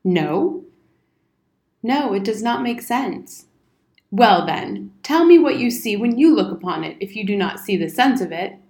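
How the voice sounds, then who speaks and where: A woman's voice: mid-range voice, lively intonation, moderate pace, darkish tone, natural voice. Woman playing a pompous, blustering old soldier, echoey room. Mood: taken aback. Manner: pompous, wordy, emphatic. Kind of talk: storybook character reading. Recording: roomy, echoing indoor sound.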